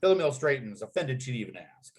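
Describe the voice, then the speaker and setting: A man's voice: medium pitch, sing-song, fairly quick, a little mellow. A man narrating a comedy screenplay at a table read, reading out scene headings and action with punchy delivery.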